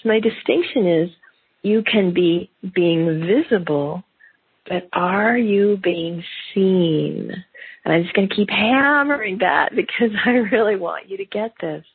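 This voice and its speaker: A middle-aged woman with a slight cold and a gravelly voice hosts a solo business podcast, talking in an animated way and acting out quotes in lively voices. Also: mid-range voice, dry recording. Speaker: podcast host. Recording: phone-like narrow band, dry.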